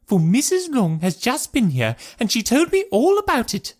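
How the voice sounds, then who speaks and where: A man voicing an excitable, pushy middle-aged English wife in an audiobook, gushing and insistent. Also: very high-pitched, normal speed, full character voice. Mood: eager, gossipy. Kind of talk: audiobook character voice.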